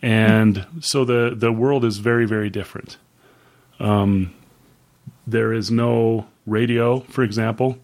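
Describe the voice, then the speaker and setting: A man in his fifties co-hosting a book podcast, talking in a casual, rambling way with many pauses and fillers. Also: fairly low pitch, fairly even intonation, darkish tone. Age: 50s. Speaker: podcast co-host.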